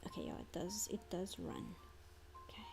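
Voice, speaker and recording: A woman's voice: lowish voice, fairly even intonation, normal speed, vocal fry. Chatty South African woman artist streamer. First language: Afrikaans. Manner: chatty and rambling, muttering to herself as she works. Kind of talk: casual chat while drawing on stream. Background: faint background music.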